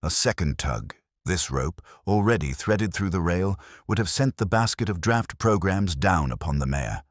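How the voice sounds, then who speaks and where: Here is a man playing a mild and shrewd detective inspector from a mystery story. The voice is very low and dry, with animated rises and falls. Each phrase is deliberate and theatrical as the culprit is exposed. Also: dry recording. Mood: calmly accusing. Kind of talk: dramatised mystery story dialogue.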